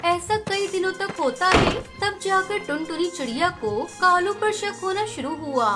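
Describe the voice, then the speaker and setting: Very high-pitched woman narrating a cartoon story. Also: measured pace, over music.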